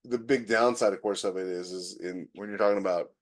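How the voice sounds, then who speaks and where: Lowish voiced guy on a podcast, casual, kinda halting, thinking out loud. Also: steady tone. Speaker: podcast co-host, science fiction author. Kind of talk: casual chat about sci-fi writing.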